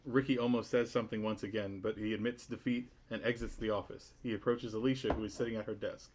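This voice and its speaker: A man's voice: lowish voice, steady tone, fast, darkish tone. A man reading stage directions as narrator of a sitcom table read.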